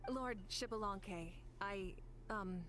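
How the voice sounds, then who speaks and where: A woman playing a composed, courteous young leader of a nation in a video game, speaking in a polite, measured, formal way. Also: medium pitch, fairly bright tone, slight background noise. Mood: courteous, sheepish.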